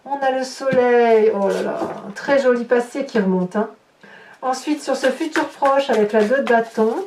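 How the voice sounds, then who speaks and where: A woman's voice: a little high, normal speed. A woman giving an improvised tarot reading to viewers, conversational, commenting on cards as she turns them and tagging her phrases with little interjections. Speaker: tarot reader and horoscope host. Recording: echoey room, distant mic, faint noise.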